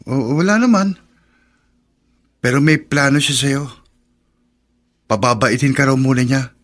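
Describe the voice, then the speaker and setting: An actor playing a kind, protective young neighbour in a Filipino radio drama, earnest and a little hesitant. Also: male voice, medium pitch, unhurried, fairly bright tone. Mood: concerned.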